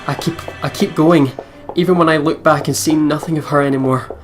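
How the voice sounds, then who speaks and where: A man's voice: a little high, steady tone, brisk, faint music behind. A man hosting a let's play, reading visual novel narration aloud in a dramatic storytelling manner.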